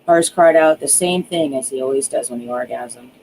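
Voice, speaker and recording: A narrator reads a dark thriller in a dramatic audiobook style. The voice is mellow and full of vocal fry, leaning on key words with hushed, drawn-out emphasis. Some background noise sits under the homemade recording. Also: mid-range voice, low-quality recording. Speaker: author reading own book aloud.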